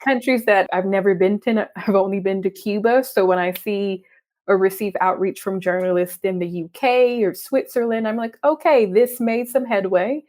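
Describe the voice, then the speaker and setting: Young texan woman, freelance writer, talks fast and chatty, laughs a lot. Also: medium pitch. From Texas. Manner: chatty, animated, laughs often. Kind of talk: conversational interview.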